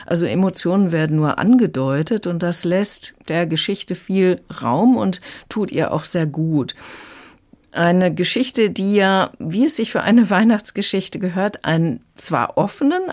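Very low-voiced woman reviewing audiobooks. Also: darkish tone. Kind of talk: radio review conversation.

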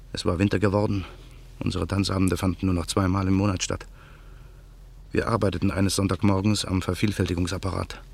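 An actor playing an embittered man who waits through the night for revenge, speaking his inner monologue slowly and in a hushed voice in a radio play. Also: low-pitched, vocal fry. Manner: slow, brooding, hushed. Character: embittered man awaiting revenge, male adult. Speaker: narrator and main character.

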